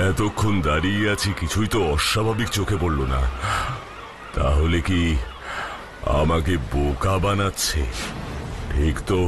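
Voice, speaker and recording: A man's voice: sits low, noisy background, close to an everyday voice. A man playing a mighty, resolute superhero detective in a Bengali children's cartoon, speaking in a firm, protective way. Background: background music.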